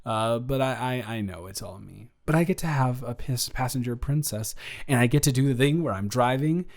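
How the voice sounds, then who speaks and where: A man acts out a young guy in love with his best friend, in a romantic audio roleplay. His voice sits at a medium pitch. He banters and teases, often stammering and restarting as he talks. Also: natural voice. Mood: fond, resigned. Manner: bantering, halting, with stammers and breathy laughs.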